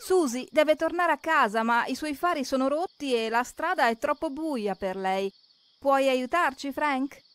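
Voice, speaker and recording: A woman's voice: sits high, expressive, a little slow, a bit bright. A woman narrating a children's cartoon, expressively asking questions and talking straight to the characters.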